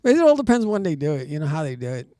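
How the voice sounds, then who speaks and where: A man's voice: on the high side, sing-song, very fast, dark tone, no room sound, clean studio sound. A man hosting a cable access variety show, chatting casually with a guest and cracking boastful jokes. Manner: joking, boastful banter. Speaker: host. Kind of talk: casual chat. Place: studio.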